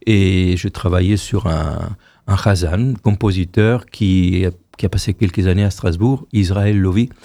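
A man in his sixties from Argentina, whose first language is Hebrew, telling stories slowly as a choir director being interviewed, often restarting his phrases. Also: low-pitched, mellow, no echo. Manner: unhurried storytelling, repeats and restarts phrases. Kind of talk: radio interview.

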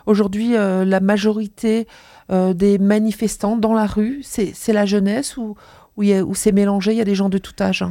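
A woman hosting a studio interview, introducing guests briskly with frequent hesitations. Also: mid-range voice, level tone, dark tone, no room sound. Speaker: radio interviewer.

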